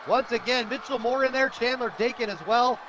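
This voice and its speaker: A man calling live football play-by-play on the radio in an energetic, excitable way. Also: very high voice, brisk, muffled recording. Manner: energetic, rises to shouts on big plays. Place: outdoor high school football stadium broadcast position. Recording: loud crowd and noisy outdoor stadium. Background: crowd noise.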